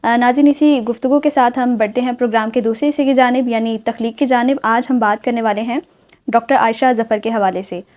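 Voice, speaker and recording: A woman's voice: on the high side, fairly even intonation, fast, a little mellow. A Pakistani woman hosting a talk show in a formal, courteous and appreciative way. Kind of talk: formal, polite programme presenting.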